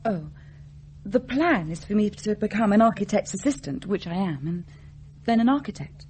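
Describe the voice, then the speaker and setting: A woman's voice: medium pitch, animated, normal speed, a little mellow, slight background noise, lightly acted. An actress playing an evasive, self-doubting young woman in a radio drama, hesitant and brittle, with an English accent. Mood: guarded. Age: young adult.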